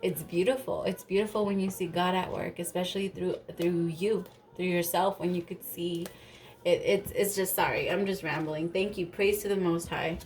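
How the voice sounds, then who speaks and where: A woman with a lowish, slightly stuffy and nasal voice, talking through a tarot reading in a loose, chatty ramble. She drifts off topic and drops casual curses. Faint noise hums under the recording. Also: fairly even intonation, normal speed, a little mellow. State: stuffy from allergies. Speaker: tarot reader.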